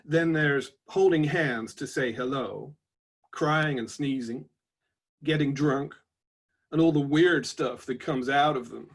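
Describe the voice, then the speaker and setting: A man voicing a reflective, wryly stoic robot labourer from a book, slowly and deliberately, with an American-style twang. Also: mid-range voice, husky. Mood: dry, wry. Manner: slow, deliberate, pausing delivery. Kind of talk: book reading of aphoristic notebook entries.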